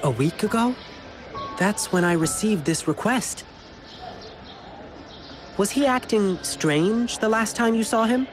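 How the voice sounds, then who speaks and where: Over clear game music comes a friendly young male lion dancer. His delivery is earnest and very animated, swinging freely in pitch as he reassures whoever he talks to. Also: slow, pauses often. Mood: earnest, attentive. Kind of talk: video game character dialogue.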